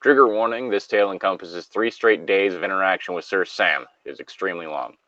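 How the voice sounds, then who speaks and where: A man's voice: low voice, fast, pushed and bright, dry recording. A man co-hosting a story reading show, reading aloud and chatting casually with joking, wry asides. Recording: dry, slightly dull lo-fi mic.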